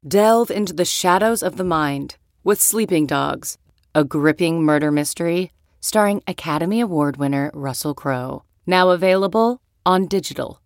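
Low, dramatic woman ad announcer. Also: no room sound, soft background music. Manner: dramatic, measured, low delivery. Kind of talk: scripted movie ad read.

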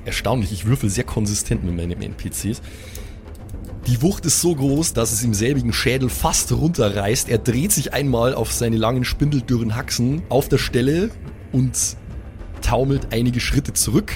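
Male game master, vivid descriptive storytelling, colloquial asides, mid-pitched voice, music behind. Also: moderate pace, darkish tone. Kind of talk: improvised tabletop game narration.

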